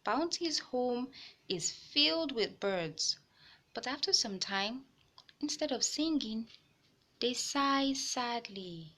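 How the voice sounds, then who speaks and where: Slow-paced woman reading a children's storybook. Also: a little high, expressive, fairly bright tone. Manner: slow, deliberate phrasing addressed to young listeners. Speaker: host of a children's read-aloud series. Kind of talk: children's storybook read-aloud narration.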